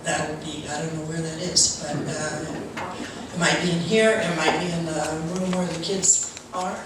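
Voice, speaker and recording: A woman's voice: low-pitched, fairly even intonation, unhurried. A woman giving informal church announcements to a congregation. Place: large reverberant room at a church service. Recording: very echoey, noisy hall, distant mic.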